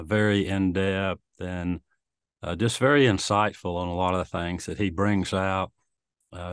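An older man hosting an interview over a video call, unhurried and full of fillers. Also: sits low, clear, resonant tone, dry recording. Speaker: host. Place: home room with guitars and shelves.

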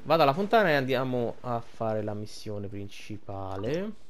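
A man's voice: mid-range voice, big pitch swings, normal speed, slight background noise. An Italian man giving casual gameplay commentary, rambling and thinking aloud as he plays. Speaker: gaming streamer.

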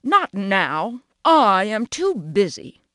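A woman's voice: medium pitch, sing-song, takes it slowly, fairly bright tone, no room sound, studio quality. A woman doing a grumbling patch of grass. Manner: short and grumbling. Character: grumpy busy patch of grass. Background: music.

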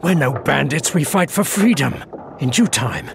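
An actor playing a proud, wounded elf rebel in a fantasy video game, bitter, gravelly and weak with pain. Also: male voice, medium pitch, very animated, moderate pace. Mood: defiant, weary. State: weak, in pain, breathy gasps. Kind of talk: dramatic video game acting. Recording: loud ambient noise behind voice.

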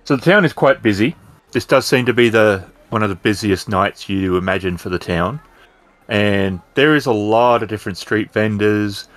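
A man narrating an improvised tabletop roleplaying game as storyteller, describing scenes in a halting way. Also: lowish voice, dry recording.

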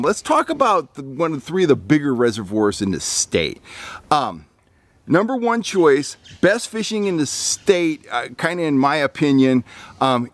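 A man in his fifties from California, a fishing guide hosting a casual outdoor fishing report to camera, rambling with lots of ums and restarts. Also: on the high side. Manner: rambling, frequent ums and restarts, lively on recommendations. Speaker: fishing guide and channel host. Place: outdoor deck among pine trees.

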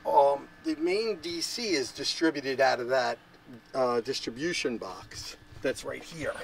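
A middle-aged man talks up his camping trailer outdoors, keen and chatty, restarting sentences as he points things out. His voice sits at an ordinary pitch, caught by a nearby camera with faint outdoor hiss behind him. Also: unhurried, vocal fry. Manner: enthusiastic, explains gear hands-on with many restarts. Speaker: trailer owner. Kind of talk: casual show-and-tell interview. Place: outdoor campground beside a trailer.

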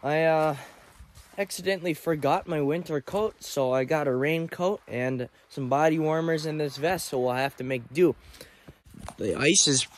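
A young man casually vlogging a winter camping and ice fishing trip, easygoing and excitable. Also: a little high, moderate pace. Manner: easygoing, excitable when fish are caught. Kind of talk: casual outdoor vlog. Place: ice-fishing tent and frozen lake.

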